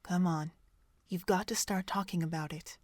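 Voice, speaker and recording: A woman playing a calm, motherly pony doctor in an audio drama, gentle and deliberate. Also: lowish voice, dry recording, studio quality, natural voice. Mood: concerned, sad.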